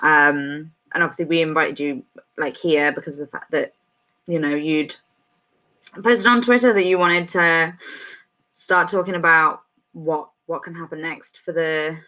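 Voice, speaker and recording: A woman's voice: low-pitched, fairly even intonation, dull audio. A woman hosting an online panel, thanking guests and hesitating with frequent ums. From United Kingdom. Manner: warm thanks, halting with many ums. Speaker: panel host.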